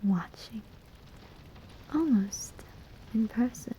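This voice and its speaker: A woman's voice: on the high side, expressive, warm tone, slight background noise, poor audio. A woman playing a sly, amused demon collector of souls in a whispered, teasing ASMR roleplay. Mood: smug, teasing. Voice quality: breathy, whispering. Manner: slow, teasing, deliberate pauses.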